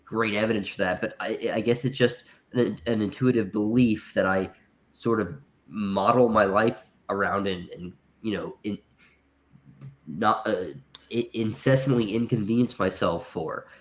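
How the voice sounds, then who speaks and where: A man's voice: on the low side, unhurried. A man with a naturally nasal voice made thicker by congestion. He rambles in a casual call-in manner, stumbling and restarting as he works through his point. He sounds like he is talking over a video call. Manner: rambling, hesitant, many restarts. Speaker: caller.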